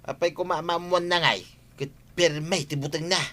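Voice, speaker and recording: A man's voice: fairly high pitch, steady tone, normal speed, pushed and bright. A man acting a scheming comic rascal in an audio drama. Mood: teasing. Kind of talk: comedic radio-style drama acting.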